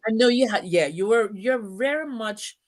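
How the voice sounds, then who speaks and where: A woman's voice: a little high, animated, moderate pace. A woman hosting an interview, encouraging her guest and asking long, rambling questions. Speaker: host. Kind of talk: interview host.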